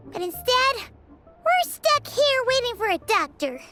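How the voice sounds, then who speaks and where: A woman voicing a small, chatty, childlike fairy companion in an animated video game style. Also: very high-pitched, takes it slowly, loud music behind the voice, exaggerated voice. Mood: glum. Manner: speaks of herself in the third person.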